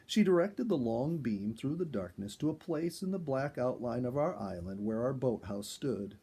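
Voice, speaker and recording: A man reading a first-person animal story aloud in an expressive storyteller's style. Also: medium pitch, moderate pace, breathy edge. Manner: expressive, acts out dialogue. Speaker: storyteller, reader.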